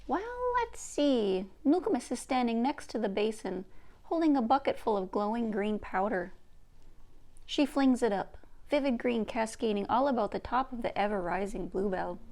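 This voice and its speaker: A woman's voice: fairly high pitch, normal speed, darkish tone. A woman reading a children's book aloud as its author and narrator. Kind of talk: scripted story narration, read-aloud.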